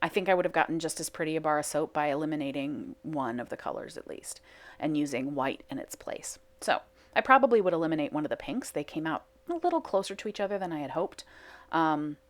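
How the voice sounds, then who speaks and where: A woman giving chatty, self-mocking voice-over commentary on her soap making video, full of fillers. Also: deep voice.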